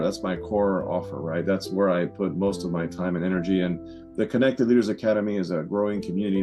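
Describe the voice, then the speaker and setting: A man who is an entrepreneur and coach, giving a confident promotional self-introduction and listing his credentials. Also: low-pitched. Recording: promo clip with guitar music behind. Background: guitar music.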